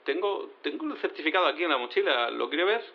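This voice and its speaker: An eager tomcat turned novice lawyer, speaks in a slightly high voice with earnest hesitation. It is audio drama, heard through a dull courtroom radio feed. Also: male voice, expressive, fast talker, vocal fry. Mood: eager, flustered. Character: eager talking male cat acting as novice lawyer. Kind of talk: audio fiction acting.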